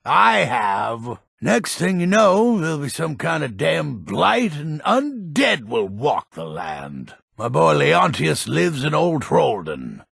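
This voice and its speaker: A proud, cranky old Nordic townsman from a fantasy game. His voice is deeply gravelled and very husky, swinging widely in pitch. He talks in a gruff, blunt, folksy way. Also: huge pitch swings, takes it slowly, hardly any pauses, a bit bright, no room sound, clean studio sound, exaggerated voice. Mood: bitter, grumbling. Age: older. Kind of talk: fantasy video game character acting.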